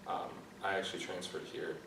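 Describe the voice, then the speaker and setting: A man in his twenties from rural Wisconsin speaks with a very deep, flat, even voice in a plain, easygoing manner. He is picked up from across an echoey room, with clear background noise. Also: a little fast. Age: 20s. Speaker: student guest. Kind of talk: casual interview answers. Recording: echoey room, mic at a distance.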